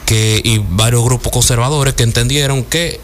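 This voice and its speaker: A man's voice: medium pitch, fairly even intonation, moderate pace, slight background noise. A man with a Dominican accent hosting a casual talk radio show, blunt and heated, full of street slang.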